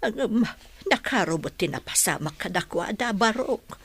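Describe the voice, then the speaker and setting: A woman's voice: mid-range voice, lively intonation, normal speed, vocal fry, fairly bright tone, breathy edge, heavily acted. A woman playing a caring, plain-spoken older mother in a radio drama, conversational and matter-of-fact. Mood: concerned.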